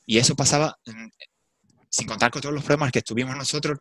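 A man's voice: mid-range voice, fairly even intonation, quick pace, fairly bright tone. A young man from Portugal, a swim coach speaking Spanish with a Portuguese accent, talking thoughtfully and a little hesitantly in an online discussion. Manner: thoughtful, hesitant, apologetic about his Spanish.

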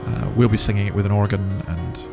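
A man's voice: lowish voice, steady tone, quick pace, creaky, music in the background. A man hosting a podcast with informal commentary, talking in a conversational, rambling way and correcting himself as he goes. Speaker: podcast host.

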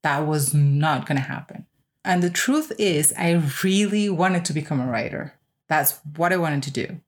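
Female podcast host, lower-register voice, reflective first-person delivery, emphatic with deliberate repetition. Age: 30s or older.

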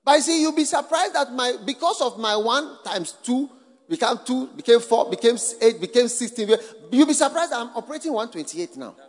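High-voiced man preaching in an echoing hall. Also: animated, fairly quick. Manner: repetitive, rhetorical, builds to shouting. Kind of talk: sermon. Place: large full hall. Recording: long hall reverb.

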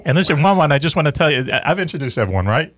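A man's voice: on the high side, sing-song, racing, a crowd in the background. A middle-aged man with a Boston accent hosting a radio talk show, rambling and joking with the gang and swearing casually. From Boston area, USA. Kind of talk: casual banter.

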